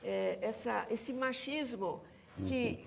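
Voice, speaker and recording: A woman's voice: on the high side, takes it slowly, creaky, a little hiss or hum. A Brazilian woman speaking emphatically as an academic guest in a panel discussion, insisting on her points and repeating them. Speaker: academic guest, researcher and author.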